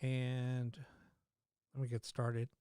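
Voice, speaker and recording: Slow, halting man, slightly hoarse. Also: medium pitch, completely flat delivery, dark tone. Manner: halting, many fillers and restarts. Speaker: paranormal livestream host. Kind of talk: casual live-stream chat.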